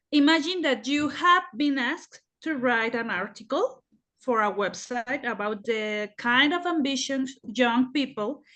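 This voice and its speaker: A young adult woman running an oral English exam, asking measured questions and guiding the candidates. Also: high-pitched, fairly bright tone.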